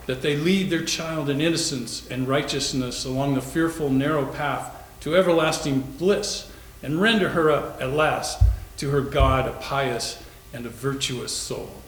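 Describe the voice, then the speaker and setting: A man reading the part of an excited, intrigued young man in a readers theater drama. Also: fairly high pitch, unhurried. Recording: echoey room with faint noise.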